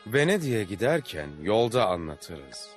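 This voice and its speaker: A man's voice: fairly low pitch, very animated, a little slow, faint music behind. A man voicing an earnest, adventurous traveller telling wondrous tales in a dubbed cartoon. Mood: earnest wonder.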